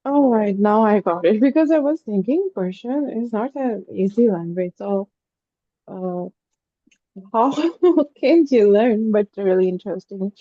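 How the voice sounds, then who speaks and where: A woman teaching an online English class, giving students warm feedback and calling them dear. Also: medium pitch, expressive, a little slow, dry recording, highs cut off. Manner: encouraging, affectionate, calls students "dear". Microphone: online call.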